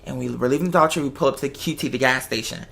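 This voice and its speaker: A woman's voice: very deep voice, rapid-fire, creaky. An American woman chatting casually and telling stories in a sassy, emphatic, conversational way. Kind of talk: casual chat, animated storytelling.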